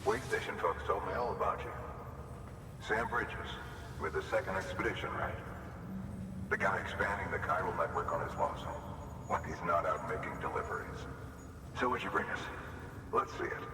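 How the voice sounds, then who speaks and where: An actor playing an easygoing, admiring supply depot worker in a video game, speaking in a casual, appreciative way. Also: male voice, deep voice, moderate pace, pauses often, natural voice. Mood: admiring, amused. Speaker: game character, distribution center worker. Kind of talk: cinematic video game dialogue. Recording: echoey hall, distant, background noise.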